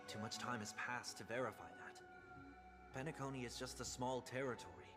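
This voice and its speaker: A man playing a soft-spoken, formal and solemn young gentleman in a video game, speaking in a measured way. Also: mid-range voice, lightly acted. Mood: grave, earnest. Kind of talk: dramatic video game dialogue acting.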